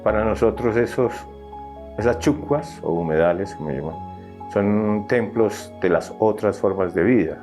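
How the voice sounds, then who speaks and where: A man speaks in a low, deep voice in a documentary interview. Plucked guitar music plays clearly behind him. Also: a little slow. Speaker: community wetland defender.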